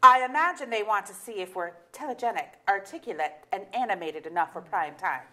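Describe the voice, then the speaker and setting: A woman's voice: mid-range voice, lively intonation, a little fast, bright, forceful tone. A woman playing a wry, sardonic middle-aged wife and mother in a staged play reading, with dry, hesitant asides. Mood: dry, evasive.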